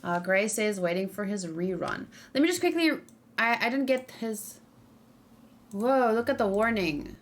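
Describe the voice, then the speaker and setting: A woman's voice: medium pitch, lively intonation, unhurried. A woman streamer giving a casual, rambling reaction to a game trailer, full of ums and restarts. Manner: rambling, self-correcting, with frequent ums. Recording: faint background music.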